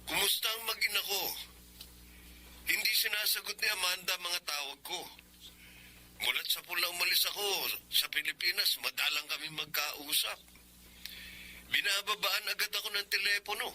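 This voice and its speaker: A man's voice: sits high, normal speed, pauses often, pushed and bright, slight background noise, rough recording. A Filipino man playing a dutiful, earnest adult son in a radio drama. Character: Ramon, dutiful, earnest engineer son working abroad.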